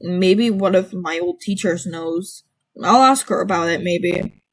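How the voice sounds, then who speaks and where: A woman's voice: lowish voice, measured pace, voice pushed down, low-quality recording. A woman voicing a thoughtful, modest teenage boy martial artist, musing tentatively in a story reading. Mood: pondering.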